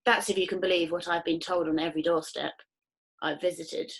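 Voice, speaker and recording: A woman's voice: on the low side, level tone, brisk, low-quality recording. A woman voicing a pompous, portly male customs officer who talks in a formal, officious way.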